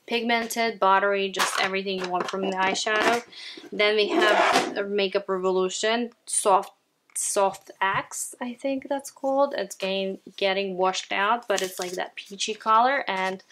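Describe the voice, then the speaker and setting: This is a woman with a Ukrainian accent, talking through her makeup in a relaxed, chatty way. Her pitch sits in a middle range, and she often speaks straight to her viewers. She is recording indoors at home. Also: slight background noise. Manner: chatty, often addresses viewers as "you guys". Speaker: beauty vlogger. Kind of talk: casual makeup declutter chat.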